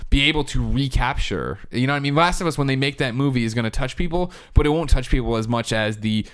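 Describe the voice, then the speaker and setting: Fast-talking man hosting a podcast, clear voice. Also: medium pitch, a bit bright. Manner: emphatic, profane, argumentative. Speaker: podcast host. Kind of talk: casual comedic panel chat.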